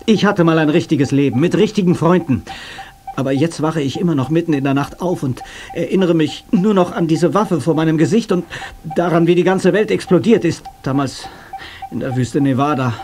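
A man's voice: on the high side, a little fast, darkish tone, lightly acted. An actor playing a defiant, sceptical young man in a German radio play, blunt and sarcastic. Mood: troubled. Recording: clear background noise, cassette transfer.

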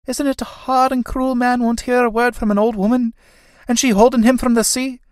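Woman acting a lamenting old Irish mother, high-voiced. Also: little pitch movement, brisk, a little mellow, no room sound, clean studio sound, close to an everyday voice. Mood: bitter pleading. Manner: lamenting, plaintive. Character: grieving old Irish mother, fretful and fearful.

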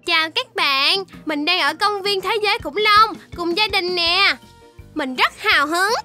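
Childlike baby shark, very high voice, slow sing-song delivery, eager cartoon hero. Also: female voice, bright, forceful tone, soft background music, full character voice. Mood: excited. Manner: exclamatory, sing-song, addresses young viewers directly.

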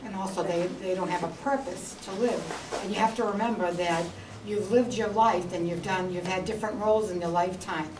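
A woman's voice: mid-range voice, steady tone, fairly quick, distant mic. A woman speaking earnestly and reassuringly in a group discussion. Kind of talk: casual comment. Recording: echoey room, from afar, noisy.

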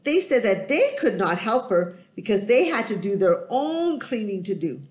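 A middle-aged woman telling a children's story in an expressive, emphatic, sing-song way, recorded in a room at home. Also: medium pitch, moderate pace. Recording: roomy, echoey home recording.

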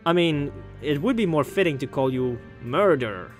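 A man's voice: fairly high pitch, expressive, normal speed. A man hosting a gaming video, reading a visual novel aloud in a theatrical way with jokey commentary. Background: game music.

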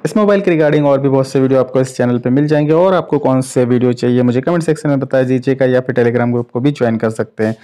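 A man's voice: mid-range voice, quick pace, hardly any pauses, dark tone. A man giving casual, explanatory commentary as a tech reviewer, addressing viewers like friends. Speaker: tech reviewer, channel host. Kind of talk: casual phone gaming test commentary.

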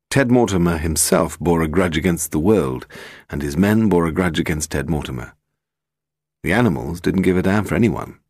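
Very deep British male audiobook narrator. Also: husky, dry recording, studio quality. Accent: British English. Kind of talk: scripted narration of a detective novel.

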